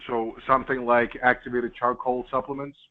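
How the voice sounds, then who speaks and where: A man's voice: mid-range voice, little pitch movement, moderate pace. A man calling in to ask the host questions, curious and polite. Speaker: caller. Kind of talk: casual call-in question. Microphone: phone or conference call. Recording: tinny conference phone line.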